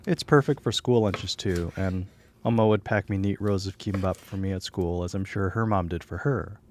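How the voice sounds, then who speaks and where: A man's voice: deep voice, moderate pace, darkish tone, slight background noise. A young man from the United States, whose first language was Korean, narrating his personal film in a reflective, candid, wry voice-over. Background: guitar music.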